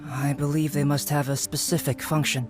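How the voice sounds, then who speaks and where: An actor playing a composed, erudite young scholar in a video game, measured, articulate and formal. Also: male voice, fairly high pitch, little pitch movement, music in the background, natural voice. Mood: explaining, thoughtful. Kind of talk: scripted video game voice acting.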